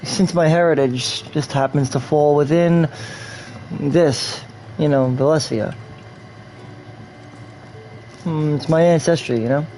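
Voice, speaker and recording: A man's voice: fairly high pitch, a little slow, warm tone, a little hiss or hum, rough recording. A man from New York rambling through casual game stream commentary, repeating himself and slipping in and out of a put-on English accent. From New York, USA.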